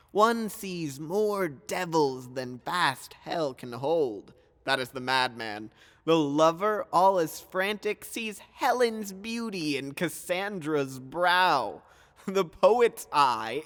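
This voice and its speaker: A man playing a grand, genial yet skeptical duke of Athens, declaiming in a measured, theatrical Shakespearean style. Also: on the high side, a bit bright. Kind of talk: theatrical Shakespearean audio drama acting. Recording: long reverberant hall echo.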